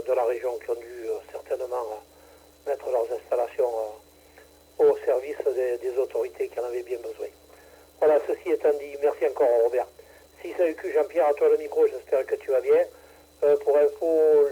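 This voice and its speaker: A man's voice: medium pitch, mellow, slightly rough, poor audio. A man running a ham radio net, chatting casually and hesitantly with many fillers, from southern France. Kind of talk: casual on-air radio chat.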